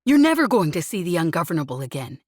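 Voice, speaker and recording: A woman narrator voicing a weary, guilt-ridden young man who speaks flatly and bursts into anger, in an audiobook reading. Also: deep voice, slow pace, slightly rough, dry recording, clean studio sound, heavily acted. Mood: furious. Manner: flat and dull, bursting into anger.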